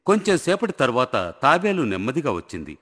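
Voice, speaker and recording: A man's voice: mid-range voice, sing-song, a little fast, fairly bright tone. A man narrating a scripted moral story for children as the storyteller. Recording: long hall reverb, faint music.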